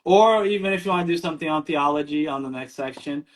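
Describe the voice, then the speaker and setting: A man's voice: fairly high pitch, fast talker. A man hosting a podcast interview, thanking his guest at length and chiming in casually. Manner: effusive, thanks at length, jumps in. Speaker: podcast host.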